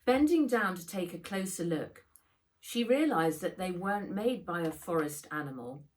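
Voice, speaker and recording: A woman reading a children's adventure book aloud in expressive narration, as an author reading her own work. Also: on the low side, normal speed, rough recording.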